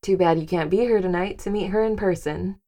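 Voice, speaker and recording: A woman's voice: fairly low pitch, a little fast, warm tone, lightly acted. An actress playing a wry, easygoing woman in conversational audio drama. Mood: wry, casual.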